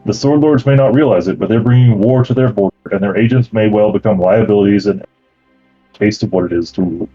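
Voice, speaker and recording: A man acting as game master, reading out campaign lore and then explaining the travel rules in a relaxed way with many fillers. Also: fairly low pitch, fast talker, breathy edge, highs cut off, soft background music.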